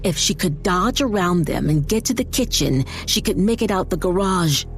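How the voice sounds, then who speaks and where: Woman narrator, slightly low voice, dramatic audiobook read, music behind her. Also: lively intonation, moderate pace.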